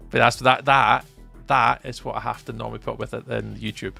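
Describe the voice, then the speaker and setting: A man's voice: mid-range voice, flat delivery, fairly quick, gravelly, sharp tone, soft background music. A Scottish man from near Edinburgh chatting casually and teasingly with viewers on a live stream, laughing often. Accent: Scottish, Edinburgh area. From Scotland. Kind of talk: casual live-stream chat while gaming.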